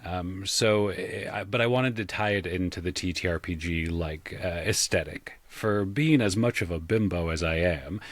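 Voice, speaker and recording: Deep, dry-recorded man chatting as a guest. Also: moderate pace, few pauses, dry recording. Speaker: podcast guest, audio drama creator. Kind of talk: casual interview storytelling.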